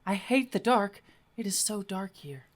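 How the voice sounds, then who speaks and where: A reader voicing a frightened young foreign woman who pleads timidly in halting English, as in an audiobook romance. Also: medium pitch, expressive, lightly acted. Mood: frightened, apologetic. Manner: halting non-native English phrasing.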